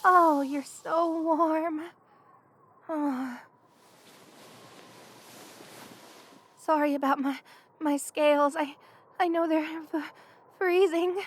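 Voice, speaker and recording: A woman voicing a young lamia best friend in an intimate ASMR roleplay, affectionate and hesitant, with a soft-hearted manner. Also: sits high, slight background noise. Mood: shivering, flustered.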